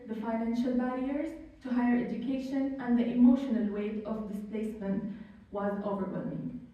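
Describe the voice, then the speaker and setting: A woman in her thirties from Syria gives an earnest, somewhat halting talk to an audience, and her voice sits a little high. She is picked up from well back in a hall full of echo. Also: a little mellow. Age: 30s. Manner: earnest, slightly halting, with small slips and restarts. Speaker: student speaker at a symposium. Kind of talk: personal talk at an event. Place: lecture hall. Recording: echoey hall heard from a distance.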